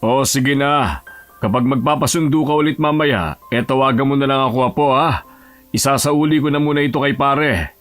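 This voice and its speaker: A gentle elderly grandfather played by a male actor in an audio drama. His voice sits at a middle pitch, plain-spoken and fatherly, over soft background music. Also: normal speed, natural voice. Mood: fussing, fond. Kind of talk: Tagalog audio drama acting.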